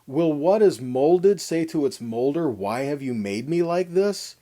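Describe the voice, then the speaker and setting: A man with a mellow, relaxed tone delivers a teaching sermon. His pitch moves expressively as he stresses key words, reads scripture and puts pointed questions to the listener. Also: measured pace. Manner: emphatic, dramatic stresses, rhetorical questions to listeners. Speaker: Bible teacher giving a weekly Torah portion lesson.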